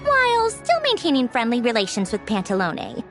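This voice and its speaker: An actress voicing a sharp-tongued, bossy female engineer and Fatui harbinger in a game, with a brisk, wry, dismissive manner. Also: on the high side, huge pitch swings. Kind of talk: game voice acting. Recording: game dialogue over soft music.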